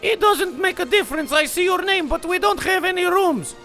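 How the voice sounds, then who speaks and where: A man voicing a stubborn hotel clerk who speaks broken English, flat and deadpan. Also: very high-pitched, a little fast, soft background music, full character voice. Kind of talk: comedy audio sketch.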